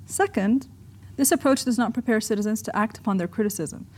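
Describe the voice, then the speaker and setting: A woman's voice: fairly high pitch, fairly quick, a little mellow. A woman from Egypt who works as an academic, reading her own scripted essay as narration.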